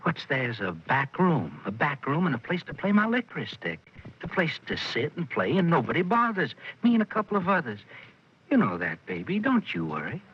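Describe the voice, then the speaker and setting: An evasive, lazy lover. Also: male voice, fairly high pitch, huge pitch swings, moderate pace, husky, heavily acted. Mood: dismissive. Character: adult man, evasive clarinet-playing lover. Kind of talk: 1940s radio drama acting. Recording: dull old radio broadcast, faint hiss.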